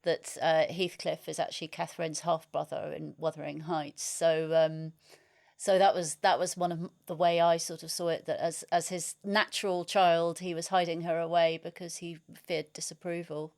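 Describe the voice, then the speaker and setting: Smooth, lowish-voiced woman interviewer, musing. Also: flat delivery, moderate pace, darkish tone. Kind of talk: conversational literary discussion.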